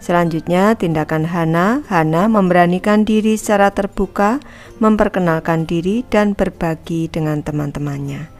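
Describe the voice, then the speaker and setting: Woman teacher, lowish voice, explaining a lesson to children, soft music behind. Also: moderate pace. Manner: calls herself Ibu and addresses the class as anak-anak. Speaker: primary school teacher.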